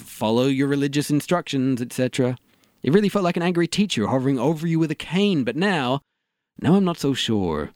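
A man playing a gloomy, philosophical and tactless character in a comedy audio drama, speaking in a deliberate, dour way. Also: mid-range voice, a little mellow, dry recording, clean studio sound, close to an everyday voice. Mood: reflective.

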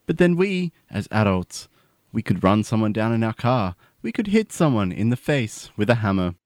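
A man playing a sincere, plain-spoken mourner in a comedy radio drama, earnest and measured. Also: fairly low pitch, sing-song, a little mellow, dry recording, studio quality.

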